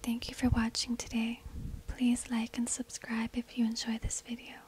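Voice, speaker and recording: A woman whispering breathily in a hushed, deliberate ASMR narration, close to a binaural microphone. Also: a little high, completely flat delivery, a little hiss or hum. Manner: slow, deliberate, hushed delivery. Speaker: ASMR video host and shopkeeper.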